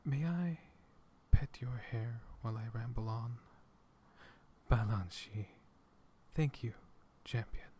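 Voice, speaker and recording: A man voicing a gentle, chatty dragon who soothes a hero toward sleep, speaking in a soft whisper for roleplay ASMR. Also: mid-range voice, slow pace, slight background noise, natural voice. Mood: soothing. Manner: soft-spoken whisper with tongue clicking; chatty, slightly absent-minded rambling.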